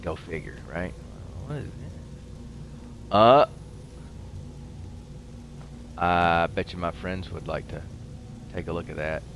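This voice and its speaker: A man with a fairly low voice chats casually while playing a video game. His commentary rambles and halts as his attention moves between talking and play. There is noticeable noise behind his voice. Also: animated, unhurried, a bit bright. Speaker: host of a gaming show.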